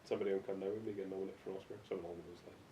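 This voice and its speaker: A man's voice: flat delivery, racing, dark tone. A man chatting casually and bluntly in a group, on an amateur podcast recording. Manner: blunt, sweary. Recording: distant, echoey amateur podcast with faint rumble.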